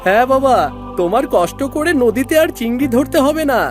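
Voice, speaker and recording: A man's voice: very high-pitched, lively intonation, brisk, music in the background. A man voicing a young village boy, a sensible, protective big brother who is bossy and reassuring, in a children's cartoon. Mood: caring.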